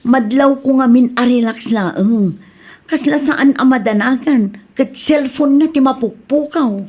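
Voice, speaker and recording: A worried Ilocano wife speaks in a high-pitched voice. She is insistent, pressing with questions in radio comedy drama style. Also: unhurried, a little mellow. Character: worried, insistent wife who confronts her evasive friend.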